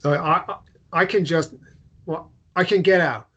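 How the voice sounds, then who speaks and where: A man's voice: a little high, steady tone, measured pace, a bit bright, slightly rough, lo-fi sound. A man playing an awkward, apologetic, melancholy American traveller in a drama table read, speaking hesitantly with stammers.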